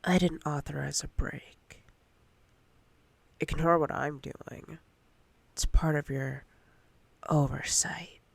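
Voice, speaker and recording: A woman playing a domineering, teasing female boss in a whispered ASMR roleplay, breathy and husky, speaking deliberately with seductive command. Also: sits low, fairly even intonation, dry recording, full character voice. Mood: stern, controlling.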